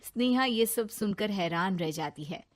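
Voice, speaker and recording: A woman narrating a cartoon story in an expressive, scripted storytelling manner. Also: mid-range voice, moderate pace, very noisy.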